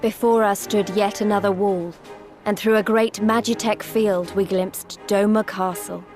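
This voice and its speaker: A woman's voice: mid-range voice, fairly even intonation, a little mellow, natural voice. Woman as a solemn war-weary narrator over music. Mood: somber, remembering. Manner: solemn, reflective, measured. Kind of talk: scripted video game narration. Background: prominent music.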